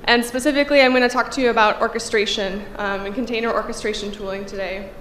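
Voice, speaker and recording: A woman's voice: on the high side, fairly even intonation, quick pace, a bit bright. Woman, 30s, slightly congested, conference talk, podium mic, reverberant hall. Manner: conversational, frequent fillers. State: congested from a cold. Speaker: conference speaker. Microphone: podium microphone. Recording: echoey hall, faint room hum.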